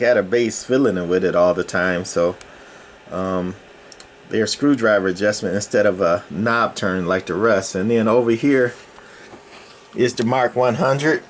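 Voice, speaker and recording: A man presenting an informal hands-on technical demo in a halting, folksy, explanatory way, recorded indoors on a camera microphone. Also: lowish voice. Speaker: channel host. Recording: clear background noise, room ambience.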